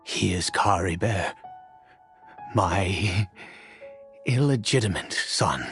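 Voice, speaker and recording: A man's voice: low voice, animated, a bit bright, faint music behind, heavily acted. Weary, bitter nobleman, swooping dramatically. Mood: somber, resentful. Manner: halting, sighing, emotionally intense delivery. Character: weary, bitter, guarded exiled nobleman cursed with immortality. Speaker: voice actor in a fantasy video game. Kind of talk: dramatic video game dialogue.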